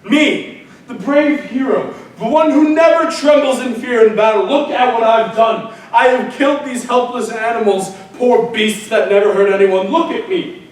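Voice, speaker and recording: An actor playing a proud male warrior hero driven mad with grief, declaiming with anguished shouts and low despair. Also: unhurried. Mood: raging. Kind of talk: stage tragedy acting. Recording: echoey room, far from mic, faint noise.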